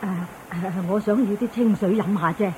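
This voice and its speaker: A woman's voice: mid-range voice, normal speed, darkish tone, a little hiss or hum, natural voice. A woman playing a worried, pleading farm woman in a Cantonese radio drama. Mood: anxious. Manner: anxious, whiny, pleading.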